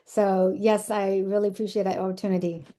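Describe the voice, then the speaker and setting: A woman in her thirties with a Chinese accent, speaking as a podcast guest in an easygoing, earnest way. Her voice is mellow and warm, and her pitch sits in an ordinary middle range. She often fills pauses with small hesitations and restarts. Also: flat delivery. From China. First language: Zhuang dialect or Mandarin. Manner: earnest, with many fillers and restarts; laughs easily. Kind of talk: casual interview chat.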